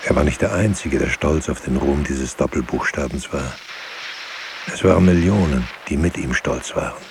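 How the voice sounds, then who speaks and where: An actor playing a grave, bitter witness mourning the dead in a poetic radio drama, solemn and rhetorical. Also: male voice, very deep voice, moderate pace, background noise. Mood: grave, accusing.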